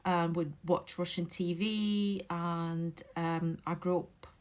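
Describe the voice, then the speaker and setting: A middle-aged woman from Latvia speaks English with a Latvian accent blended with Derbyshire English, in a somewhat low voice. She reflects casually at home and stops often to think over her grammar. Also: steady tone. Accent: Latvian with a Derbyshire English touch. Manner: thoughtful, many pauses and fillers, careful phrasing. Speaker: memoir author and vlogger. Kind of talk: casual reflective monologue to camera. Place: home, indoors.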